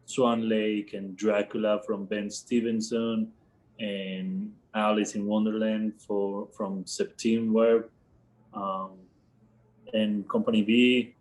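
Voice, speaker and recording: A man from Colombia, a professional ballet dancer, answering in a relaxed way with some ums. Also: on the low side, takes it slowly, low-quality recording. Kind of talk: casual interview answers.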